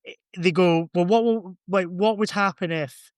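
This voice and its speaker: A man's voice: high-pitched, normal speed, clear, resonant tone, dry recording, clean studio sound. A man chatting casually as a podcast guest, informal and a bit sweary. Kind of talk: casual chat.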